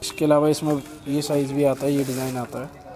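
A middle-aged man selling in a shop, giving a brisk, polite sales pitch and addressing the customer as sir. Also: little pitch movement, mellow, slight background noise. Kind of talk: product demonstration for a customer. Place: small indoor shop.

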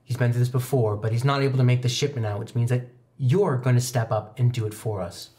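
A man in his twenties improvising story narration as a game master, descriptive and halting. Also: medium pitch, steady tone, darkish tone. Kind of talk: improvised tabletop roleplay narration. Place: indoor studio set.

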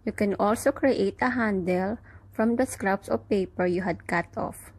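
A young woman teaching children in church, giving gentle, careful craft instructions. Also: medium pitch, a little slow, slightly rough. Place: small room at home, indoors.

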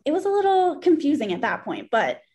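A woman in her thirties with a fairly high voice talks through a book as a co-host. Her delivery is conversational and loose, peppered with "like," as on an indoor video call. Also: huge pitch swings, fairly quick, a little mellow, a little hiss or hum. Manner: conversational, frequent "like". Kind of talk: casual book discussion. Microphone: video call computer mic.

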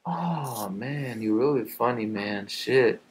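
A man in his twenties with a gravelly, husky voice, bantering curtly and sweary over a video call. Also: medium pitch, animated, takes it slowly. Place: room at home.

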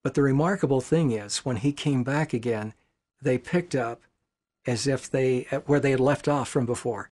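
A man's voice: medium pitch, moderate pace, a little mellow. A man giving interview commentary on history for a documentary. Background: music.

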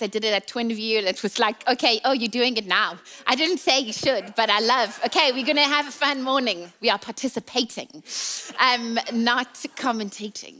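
Bright-voiced South African woman preaching. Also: a little high. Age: 30s. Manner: chatty, playful, teasing. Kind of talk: sermon. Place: large church auditorium stage.

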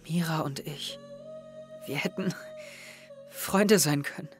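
A man's voice: fairly high pitch, takes it slowly, faint music behind. A young man playing an anxious, withdrawn, self-doubting teenage schoolboy in an audio drama. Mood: brooding. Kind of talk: audio drama dialogue and inner monologue.

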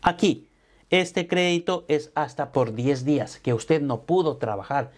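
A middle-aged man, a tax advisor, explaining to camera from an office, speaking deliberately and addressing the viewer directly, slipping English terms into his Spanish. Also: a little high, expressive. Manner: slow, emphatic, addresses viewer directly with formal "usted". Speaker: tax advisor and business coach. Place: home studio office with brick wall. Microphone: lapel mic, seated at desk.

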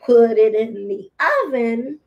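Slow-talking woman teaching parents baby rhymes. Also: a little high, fairly even intonation, creaky, muffled recording. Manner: slow, deliberate, with singsong baby-talk chanting. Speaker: early childhood teacher, host of infant read-along. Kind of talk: instructional demonstration for parents of babies. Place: room at home.

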